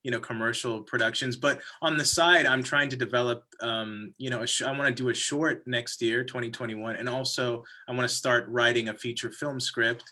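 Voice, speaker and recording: A man's voice: medium pitch, fairly even intonation, normal speed, hardly any pauses, sharp tone. A man, a film director thinking aloud in a panel discussion.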